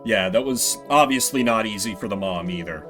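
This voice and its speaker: Guy streaming a game, talks casual and chatty, bright lively voice, bit of music under it. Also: fairly low pitch, normal speed. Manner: chatty, informal. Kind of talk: casual gameplay commentary.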